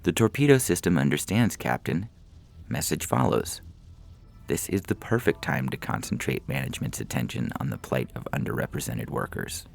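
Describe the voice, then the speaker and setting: A man voicing a calm, officious starship computer with a formal, unflappable manner in a sci-fi comedy audio story. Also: very deep voice, moderate pace, creaky, heavily acted.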